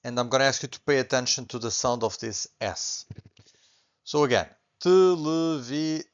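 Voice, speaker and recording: Middle-aged Portuguese man with an accent, patient beginners' teacher, mid pitch, slightly dull lo-fi sound. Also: normal speed. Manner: patient, reassuring, repeats points, often adds okay. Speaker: language teacher. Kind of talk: online language lesson.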